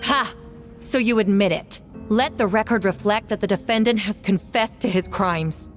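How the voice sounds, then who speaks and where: A woman's voice: medium pitch, normal speed, close to an everyday voice. A woman voicing a stern, formal prosecutor in a fantasy video game, pressing her case with pointed accusation. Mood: triumphant, accusing. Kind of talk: dramatic video game voice acting. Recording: music behind the voice.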